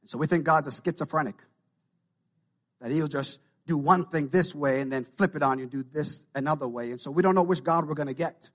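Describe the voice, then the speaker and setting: A man with a Jamaican Canadian accent delivers a sermon, his voice pitched a little above the usual for men. He builds intensity as he goes, circling back to repeat key phrases for emphasis. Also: brisk. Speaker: pastor.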